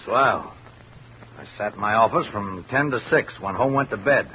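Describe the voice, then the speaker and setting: A man's voice: lowish voice, normal speed, natural voice. An actor playing a sullen, defensive night watchman in an old radio drama, curt and sarcastic. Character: surly, bitter, defensive male night watchman. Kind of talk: 1950s radio drama acting. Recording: muffled old radio recording, faint noise.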